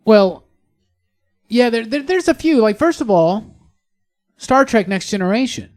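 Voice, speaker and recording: A man's voice: high voice, animated, unhurried. A man co-hosting a podcast in casual pop culture chat, talking with a fan's enthusiasm and emphatic words. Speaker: podcast co-host.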